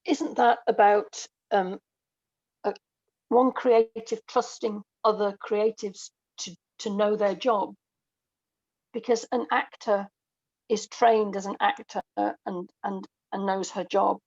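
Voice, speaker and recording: A middle-aged woman talks as a podcast guest with a noticeably creaky voice. She explains in a measured, conversational way, pausing between phrases. The recording is dry and somewhat dull. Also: mid-range voice, fairly even intonation, darkish tone, dry recording. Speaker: guest, audio drama writer. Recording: dull, close dry lo-fi audio.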